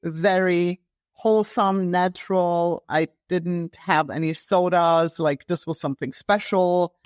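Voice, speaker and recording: Woman, halting and dry. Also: fairly low pitch, steady tone, darkish tone, dry recording. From Germany. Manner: halting, many fillers and restarts. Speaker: guest, health coach. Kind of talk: casual interview conversation.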